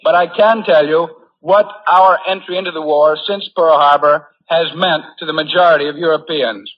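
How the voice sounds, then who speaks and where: A man's voice: fairly high pitch, fairly even intonation, moderate pace. An American man delivering an earnest, formal prepared speech as a war correspondent in a 1940s radio address. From United States. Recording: muffled, lo-fi 1940s radio recording.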